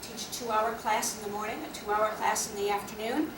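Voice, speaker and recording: A woman's voice: mid-range voice, little pitch movement. Distant woman announcing at a meeting. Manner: practical, explanatory, measured. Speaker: guild officer presenting education plans. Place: meeting room. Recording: echoey room, distant mic, noisy.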